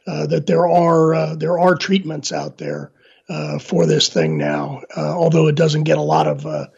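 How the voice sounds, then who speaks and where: A middle-aged man, hoarse after illness, answering interview questions in a halting way with many fillers and restarts. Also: fairly high pitch, expressive, darkish tone. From Northern Virginia, USA. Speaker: guest. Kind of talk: casual interview talk. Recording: dull lo-fi line.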